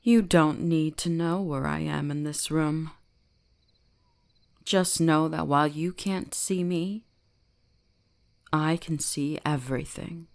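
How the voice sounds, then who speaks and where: Woman voicing a slow, creaky, imperious fox spirit. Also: sits low, steady tone, full character voice. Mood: cold, suspicious. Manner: slow, deliberate, imperious, teasingly gentle. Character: commanding, centuries-old female kitsune shrine guardian, cold yet fascinated. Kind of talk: scripted ASMR roleplay addressed to the listener.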